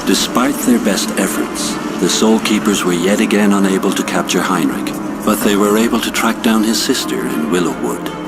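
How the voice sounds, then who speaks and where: An actor playing a grave storyteller, narrating a fantasy game cutscene in a solemn, measured way. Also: male voice, on the low side, lively intonation. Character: grave male storyteller of fantasy war lore. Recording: loud music behind the voice.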